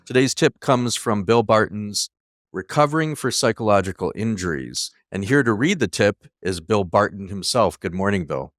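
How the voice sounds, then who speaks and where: A man's voice: on the low side, lively intonation, moderate pace, a bit bright, no echo, clean studio sound. A man who works as a trial lawyer, hosting a casual interview.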